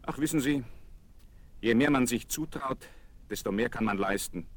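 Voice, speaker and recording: An actor playing a self-assured, wry young aviator in a German children's radio play, breezy and dryly ironic. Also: male voice, medium pitch, normal speed, natural voice. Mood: wry, unflappable. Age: young adult. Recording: noisy vinyl radio-play recording.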